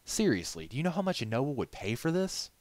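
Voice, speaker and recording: A man's voice: mid-range voice, very animated, fast, a little mellow, no echo, pitched above the performer's own voice, close to an everyday voice. A man voicing a practical male pony puppet-maker in a storybook reading, dry, teasing and matter-of-fact.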